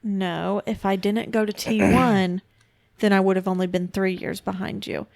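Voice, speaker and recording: A woman's voice: medium pitch, flat delivery, unhurried, gravelly, darkish tone, no room sound, studio quality. A woman in her mid-twenties co-hosting a casual podcast, explaining things patiently and laughing easily. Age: 20s. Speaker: podcast co-host. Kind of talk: casual chat.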